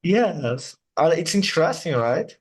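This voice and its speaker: A man whose first language is Portuguese, teaching English and slowly repeating words to model their sounds. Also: fairly high pitch, big pitch swings, husky. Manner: slow, patient, repeats words to model pronunciation. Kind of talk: online English lesson.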